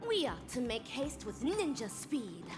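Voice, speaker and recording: A woman's voice: fairly high pitch, sing-song, measured pace. An actress playing a ninja-obsessed young woman who declaims in a theatrical, old-fashioned way. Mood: dramatic. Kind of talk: video game voice acting. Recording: roomy game audio with music.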